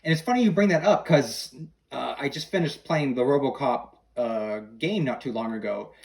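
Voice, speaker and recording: Man, middle-aged, podcast guest, medium pitch, casual, informative fan talk. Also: moderate pace, creaky, darkish tone, rough recording. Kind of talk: casual chat between film fans.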